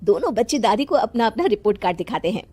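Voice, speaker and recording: A woman's voice: fairly high pitch, big pitch swings, quick pace, darkish tone, husky. A woman narrating a scripted moral story as a storyteller.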